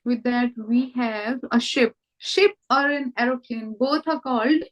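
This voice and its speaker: A high-voiced Indian woman teaches a language over a slightly muffled video call. She speaks in Indian English and patiently repeats each word slowly so her student can catch it. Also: fairly even intonation. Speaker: language tutor. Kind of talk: online language lesson.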